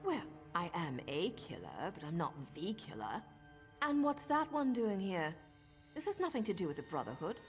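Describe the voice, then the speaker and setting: An actress voicing a sly, seductive assassin in a video game, with a cool, teasing and menacing manner. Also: female voice, on the low side, wildly swooping pitch, normal speed. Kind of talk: video game character dialogue. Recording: music behind the voice.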